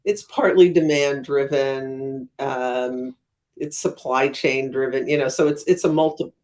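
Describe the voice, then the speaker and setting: A woman speaking as an academic guest in an interview, explaining thoughtfully and haltingly, stressing key words. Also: a bass voice, fairly even intonation, darkish tone. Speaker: guest academic, economist and author.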